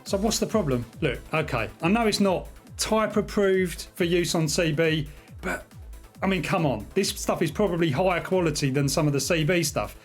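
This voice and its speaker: A man's voice: on the high side, expressive, moderate pace, faint music behind. A middle-aged man with a British accent talking casually and informally to his viewers as a video host. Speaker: video host, radio hobbyist. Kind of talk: casual vlog talk to viewers.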